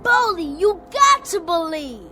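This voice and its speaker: Very high-voiced earnest little boy. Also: very animated, unhurried, exaggerated voice. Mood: pleading. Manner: pleading, simple childlike phrasing. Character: earnest young boy, devoted, believes in magic. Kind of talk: radio drama acting. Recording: radio drama with music underneath.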